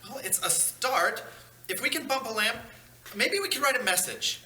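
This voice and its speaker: A man playing a campy, earnest, excitable male ghost guardian angel at a comedy table read. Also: very high voice, normal speed, heavily acted. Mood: eager, excited. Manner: campy, expressive. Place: large carpeted meeting room. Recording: echoey meeting room, noticeable hiss.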